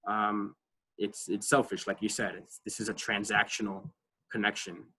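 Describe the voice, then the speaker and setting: A man with a bright, forceful voice and a slightly low pitch runs an online advising class from his computer mic. He talks casually, leans on fillers and keeps asking "right?" to check in with his listeners. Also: flat delivery, moderate pace, husky, poor audio. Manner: conversational, many fillers, frequent "right?" checks. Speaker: college advising workshop presenter. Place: online video call.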